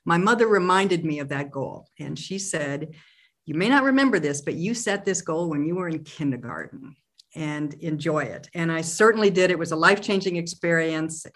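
A middle-aged woman from Oklahoma, a company president and interview guest, telling a story in a reflective, measured way. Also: deep voice, lively intonation, a bit bright. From Oklahoma, USA.

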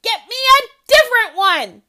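A woman's voice: high-pitched, expressive, takes it slowly, a bit bright, full character voice. A woman voicing a spoiled little girl who whines and bosses people around. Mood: demanding. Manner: whiny and bossy.

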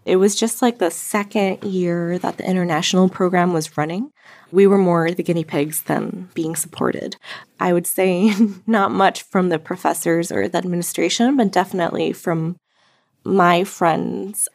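A woman's voice: on the low side, fairly even intonation, moderate pace, a little mellow, no room sound. A woman from Thailand with an American-leaning accent, co-hosting a podcast and reflecting casually on her own experience. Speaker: podcast co-host. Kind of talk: casual conversation, personal reflection.

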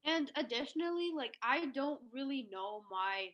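A young woman from Texas co-hosting a podcast, speaking softly and hesitantly with frequent pauses and "um"s. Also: high-pitched, expressive. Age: young adult. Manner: hesitant, soft-spoken, frequent "um". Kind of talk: casual podcast discussion. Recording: dull lo-fi mic.

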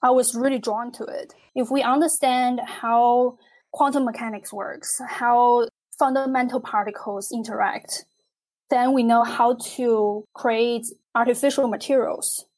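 A young adult woman from China, a physicist and artist, speaking in a measured, thoughtful way in a conversational interview. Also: fairly high pitch, fairly even intonation, a little mellow, low-quality recording.

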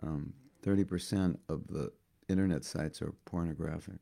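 Give me a hoarse man with a dark, gravelly voice giving a devotional talk. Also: very deep voice, fairly even intonation. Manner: halting, repeats words while thinking. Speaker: spiritual teacher.

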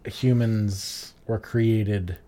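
A man's voice: lowish voice, fairly even intonation, very slow, mellow. A man co-hosting a podcast in casual chat, explaining things with lots of "like" fillers. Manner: chatty, explains with many "like" fillers. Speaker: podcast co-host.

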